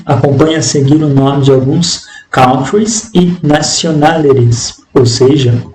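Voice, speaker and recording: A man with a Brazilian accent teaching an English lesson, reading material aloud and explaining it in a deliberate, didactic manner. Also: mid-range voice, warm tone. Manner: deliberate, didactic, repeating phrases in translation. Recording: echoey room with faint background noise.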